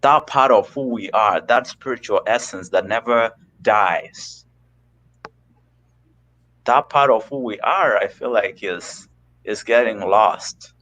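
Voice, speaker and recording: A man from Kenya, a guest on a live video call, talking slowly and earnestly about spiritual ideas. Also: on the low side, fairly bright tone, rough recording. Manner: slow, searching and earnest. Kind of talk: reflective spiritual conversation.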